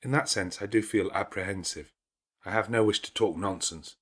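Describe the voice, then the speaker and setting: A composed, principled young governess as a male narrator reads her in a classic novel audiobook. The delivery is grave and formal, measured and held back, with a slightly husky tone. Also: lowish voice, fairly bright tone. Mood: reserved, guarded.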